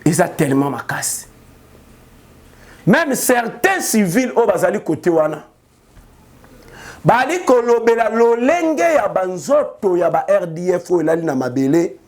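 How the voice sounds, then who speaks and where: A male news commentator. He talks slowly in a fairly high voice. He often mocks the people he talks about and laughs at his own remarks. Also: very animated.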